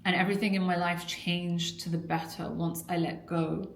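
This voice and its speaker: A woman's voice: lowish voice, flat delivery, normal speed, gravelly, a little hiss or hum. A young adult woman from an Iraqi family, a weight-loss coach talking candidly and earnestly to camera in a personal vlog. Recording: echoey room.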